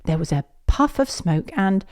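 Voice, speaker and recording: A woman reading a children's picture book aloud as a storyteller, in an expressive, measured way. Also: mid-range voice.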